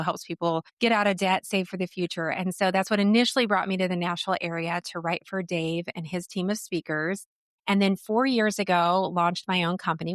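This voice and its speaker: A woman's voice: on the low side, a bit bright, no echo. Articulate American woman in a dry, close recording. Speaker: guest communication coach. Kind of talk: podcast interview, conversational explaining.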